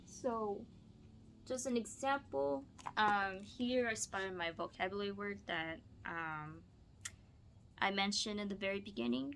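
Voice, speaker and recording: A woman with a bright, forward voice takes her time as a teacher demonstrating a read-aloud. Her delivery is expressive and storybook-like, with casual explanations for a student, and there is noticeable background noise in the recording. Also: mid-range voice, measured pace, creaky. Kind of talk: picture-book read-aloud with teaching asides.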